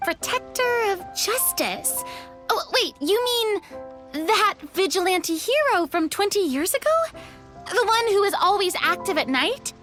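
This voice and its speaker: A woman voicing a chatty, good-natured game character with an expressive, casual manner. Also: very high voice, measured pace, full character voice. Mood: curious. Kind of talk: video game voice acting. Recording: music behind the voice.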